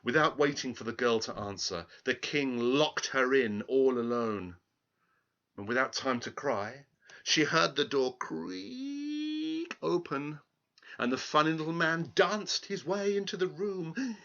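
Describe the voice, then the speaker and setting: A man telling a fairy tale aloud in a theatrical, deliberate, expressive way. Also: a little high, vocal fry, highs cut off. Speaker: storyteller.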